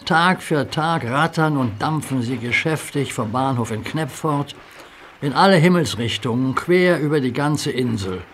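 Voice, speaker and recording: A man's voice: mid-range voice, lively intonation, a little slow, slight background noise. A man narrating a children's radio play in a vivid storytelling manner.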